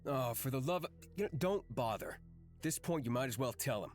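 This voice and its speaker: A man's voice: medium pitch, animated, brisk, faint music behind, natural voice. A man voicing a pragmatic, blunt and sardonic companion in a fantasy visual novel, cautious and easily exasperated.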